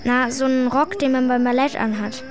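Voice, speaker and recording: A woman's voice: high voice, fairly even intonation, moderate pace, music in the background, close to an everyday voice. A female performer playing a blunt, cheeky schoolgirl in a children's radio play, speaking in a colloquial, matter-of-fact way. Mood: defiant. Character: blunt, cheeky schoolgirl telling her story reluctantly.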